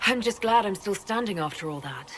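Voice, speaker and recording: An actress playing a composed, modest heroine in a video game, plain-spoken and polite with dry good humour. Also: female voice, medium pitch, lively intonation, brisk, a little hiss or hum, lightly acted. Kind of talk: video game character dialogue.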